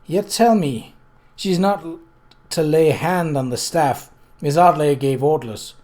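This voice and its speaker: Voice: mid-range voice, a little slow, a little mellow, close to an everyday voice. Someone putting on a bossy, scolding older woman cook. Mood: fierce. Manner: rough, scolding, sarcastic. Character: stern, bossy older female household cook. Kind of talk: acted audiobook dialogue.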